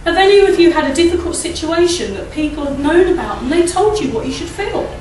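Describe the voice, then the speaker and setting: Middle-aged woman, croaky, leading a chatty church talk at a lectern mic; distant, echoey hall with noise behind. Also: very high voice, expressive, fast talker, a little mellow. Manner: chatty, asks the audience tag questions and laughs often. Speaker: church speaker. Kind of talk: informal talk at a church service. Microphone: standing mic at a lectern, filmed by a far camera.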